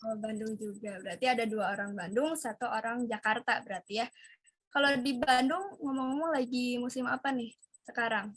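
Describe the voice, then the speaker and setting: A young woman hosts a relaxed student interview with a slightly high voice. She sounds chatty and curious. The recording is dry, with no room sound. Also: a little fast, husky, no echo. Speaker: host.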